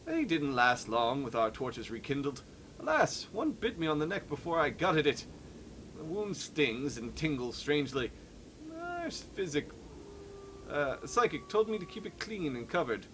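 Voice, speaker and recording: A man's voice: a little high, sing-song, a little slow, a bit bright, a little hiss or hum, rough recording. A man voicing a fussy, troubled adventurer who is turning into a sea creature, reading a game journal theatrically. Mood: uneasy, fastidious. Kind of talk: dramatic read-along of game journal.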